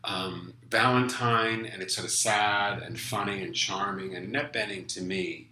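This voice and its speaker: A man's voice: lowish voice, fairly even intonation, normal speed, sharp tone, echoey room, rough recording. A man hosting his own live talk show, chatty and gushing with enthusiasm. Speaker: host.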